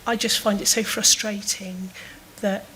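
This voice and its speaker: A woman's voice: mid-range voice, level tone, bright, forceful tone, slight background noise, low-quality recording. Hesitant woman asking a question. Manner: hesitant, halting, trailing off. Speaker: audience member asking a question. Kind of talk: spontaneous question at a panel discussion.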